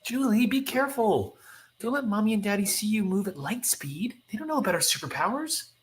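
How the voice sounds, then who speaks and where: A man's voice: high voice, animated, fairly quick. A man voicing an earnest, protective eldest boy from a comic, a little wistful. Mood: cautious.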